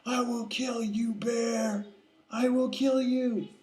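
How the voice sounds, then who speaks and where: A man's voice: medium pitch, big pitch swings, slow, reverberant. A man voicing an indignant young man turned bear, stammering and emphatic in exasperation. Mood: enraged.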